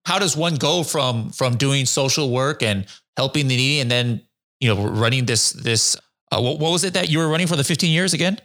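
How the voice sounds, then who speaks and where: A man's voice: mid-range voice, brisk, fairly bright tone. A man hosting a podcast interview, friendly and chatty, who drops jokey asides between questions. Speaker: podcast host.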